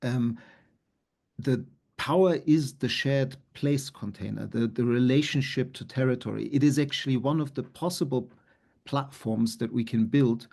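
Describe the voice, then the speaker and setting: A man's voice: mid-range voice, creaky, mellow. A middle-aged man speaking earnestly as a panelist over a video call, with frequent fillers. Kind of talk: panel discussion talk. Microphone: laptop webcam on a video call.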